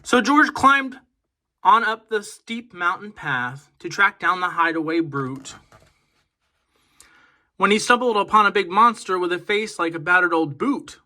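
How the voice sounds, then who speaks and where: A man reading a children's picture book aloud in an expressive, emphatic storytelling manner. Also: sits high, unhurried. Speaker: storybook reader on a children's channel.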